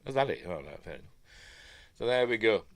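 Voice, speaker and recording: A man's voice: lowish voice, sing-song, fairly quick, no room sound. A man with a Yorkshire accent, sniffly from a stuffy nose, hosting a live news stream in a rambling, chatty way full of mumbled asides. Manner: chatty, self-interrupting asides and mumbled afterthoughts.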